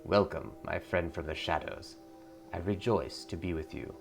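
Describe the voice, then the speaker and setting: A fatherly game cult preacher , as a man plays him. He sermonizes solemnly and piously in a low, gravelly voice. Soft music sits underneath. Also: lively intonation, moderate pace. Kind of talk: video game dialogue read aloud. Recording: music behind the voice.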